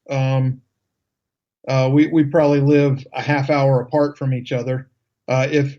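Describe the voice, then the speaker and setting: A man's voice: fairly high pitch, darkish tone. Upbeat man hosting talk radio. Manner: upbeat, chatty, with stammered restarts. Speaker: radio talk show host. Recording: slightly dull lo-fi sound.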